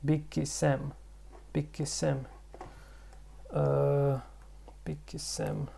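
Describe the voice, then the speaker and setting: Gravelly, mellow-voiced man rambling. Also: a little high, level tone, extremely slow, slight background noise. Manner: rambling, thinking aloud with many fillers. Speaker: channel host. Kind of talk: casual explanatory vlog.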